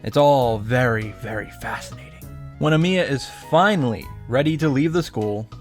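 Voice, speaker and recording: A man's voice: medium pitch, expressive, moderate pace. Sarcastic man recapping over music. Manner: sarcastic, profane. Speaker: host of visual novel reaction summaries. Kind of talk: casual comedic recap narration.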